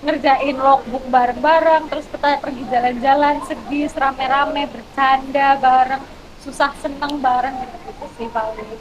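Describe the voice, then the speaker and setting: A woman's voice: high-pitched, fairly even intonation, moderate pace, dull audio, crowd noise. A young woman, chatting informally as a student guest on a talk show. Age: young adult. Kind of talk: casual talk show chat. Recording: clearly noisy background ambience.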